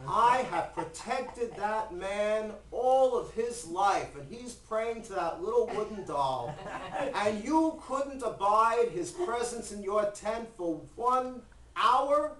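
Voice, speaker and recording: Man playing an animated, chiding God. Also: sits high, slow, pitched above the performer's own voice, full character voice. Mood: reproachful. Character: God, chiding yet patient, speaking familiarly. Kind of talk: spoken comic storytelling. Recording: roomy, distant mic with background noise.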